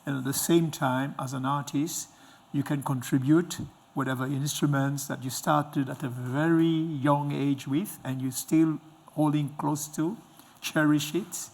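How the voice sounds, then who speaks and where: A man's voice: fairly high pitch, steady tone, measured pace, creaky. A middle-aged man hosting a conversational interview in an encouraging, reflective way. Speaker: interviewer, host. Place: indoor stage with dark curtains.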